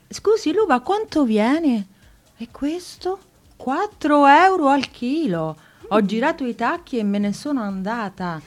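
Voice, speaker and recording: A woman playing Clara, a chatty, forgetful woman who frets and gets flustered easily, in a radio play. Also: high-pitched. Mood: indignant. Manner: chatty and fretful, exclaims "santo cielo". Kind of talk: radio drama acting.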